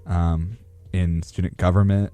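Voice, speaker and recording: A young adult man hosting a podcast, asking questions casually and haltingly, often restarting his phrases. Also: low-pitched, level tone, dark tone, clean tone, dry recording, faint music behind. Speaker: podcast host. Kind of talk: casual unscripted interviewing.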